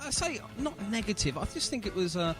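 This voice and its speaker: A man's voice: high voice, very animated, fairly quick, over music. A man hosting a casual radio interview, chatting in a jokey, effusive way. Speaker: radio show host.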